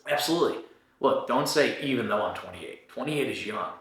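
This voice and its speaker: A man's voice: mid-range voice, expressive, fairly quick, a bit bright. A man in his forties, a podcast host answering a listener's question in a direct, encouraging way that often checks in with "right?". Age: 40s. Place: Chicago. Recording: very echoey room.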